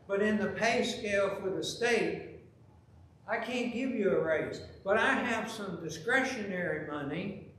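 Middle-aged male pastor, high-pitched and animated, preaching in a very reverberant sanctuary. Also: slight background noise. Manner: animated, gesturing, rising to loud emphasis. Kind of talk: sermon with storytelling. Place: large church sanctuary, at the pulpit. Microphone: pulpit microphone, filmed from far camera. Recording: very echoey church with faint ambience.

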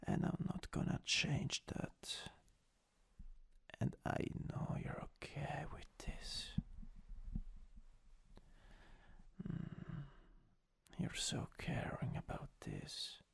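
Male performer as tender, teasing country boyfriend, Italian accent, gravelly whisper, very unhurried, intimate ASMR roleplay. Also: on the high side, wildly swooping pitch, exaggerated voice. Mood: gentle. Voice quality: breathy, raspy whisper. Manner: slow, hesitant, intimate murmuring. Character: soft-spoken country-boy lover, teasing and soothing.

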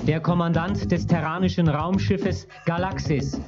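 A man's voice: on the high side, fairly even intonation, normal speed. A man giving scripted narration for a radio play. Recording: dull cassette under loud music.

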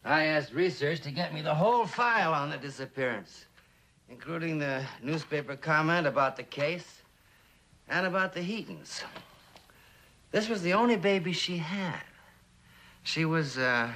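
A man playing an earnest, probing police lieutenant in a mid-century TV drama, speaking in a measured, questioning way. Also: fairly high pitch, bright, forceful tone, heavily acted.

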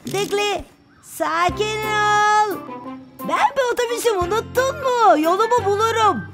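Adventurous little cartoon bus, super high kiddie voice, takes it slow, music under it. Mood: carefree, eager. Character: young adventurous bus, eager, reassuring little leader. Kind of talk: children's cartoon dubbing. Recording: music behind the voice.

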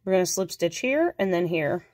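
A woman with a cold, sounding nasal, calmly talking through a crochet tutorial step by step and counting stitches aloud. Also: on the low side, moderate pace, no echo. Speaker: crochet instructor.

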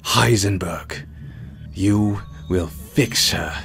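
A man voicing a sinister, scholarly villain who speaks formally and deliberately with polite condescension in a comic dub. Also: low voice, gravelly, fairly bright tone, heavily acted. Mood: cold, threatening. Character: sinister, coolly polite masked infectious villain, scholarly and menacing. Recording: background music under the voice.

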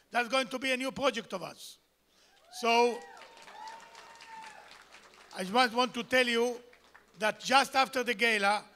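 A man's voice: extremely high pitch, bright, forceful tone. An older man with an Israeli accent gives an emphatic, repetitive speech into a handheld mic on a banquet hall stage. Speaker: gala speaker. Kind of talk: public speech at a gala. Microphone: handheld microphone.

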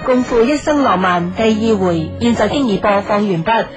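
A woman's voice: a little high, animated, normal speed, dull audio. A woman with a Hong Kong Cantonese accent, reading a scripted radio announcement. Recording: radio announcement over music.

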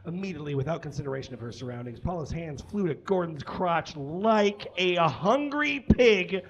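A man's voice: a little high, expressive, normal speed, dull audio. A young man reading a story aloud on a small stage in a theatrical, mock-serious comic style with ad-libbed asides, picked up by a camera a few metres away. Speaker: live comedy reader. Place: small indoor stage with curtains.

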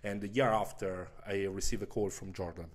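A man in his thirties with an Italian accent, a native Italian speaker chatting casually in halting English as an interview guest. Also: low-pitched, fairly even intonation. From Milan, Italy. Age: 30s. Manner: halting, searching for words in imperfect English. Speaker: podcast guest, American football player. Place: small furnished room indoors. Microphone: handheld microphone.

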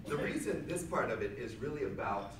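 A man giving a motivational seminar talk to a room of people, loud and emphatic, repeating his key lines back to the crowd. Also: medium pitch, expressive, brisk. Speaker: seminar speaker. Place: hotel conference room with seated audience. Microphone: far camera at back of room, no microphone. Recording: echoey room from far off with audience noise.

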